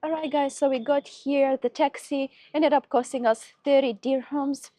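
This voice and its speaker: A woman's voice: high-pitched, steady tone, moderate pace, mellow, faint music behind. A woman chatting to viewers as a travel vlogger, explaining things casually, her voice slightly affected by a cold. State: slight head cold, voice a bit off. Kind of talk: casual travel vlog narration to camera.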